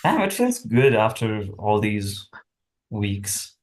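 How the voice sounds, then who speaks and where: A man's voice: lowish voice, wildly swooping pitch, takes it slowly. A young man from Nepal with a Nepali accent, chatting casually and often searching for words. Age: young adult. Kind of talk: casual chat.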